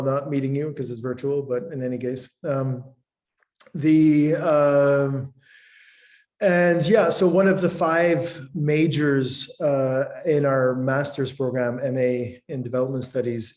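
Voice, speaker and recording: A middle-aged man from Canada, a professor, presenting a webinar over a video call with frequent ums and restarts. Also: mid-range voice. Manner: hesitant, many ums and restarts. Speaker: professor and programme convener.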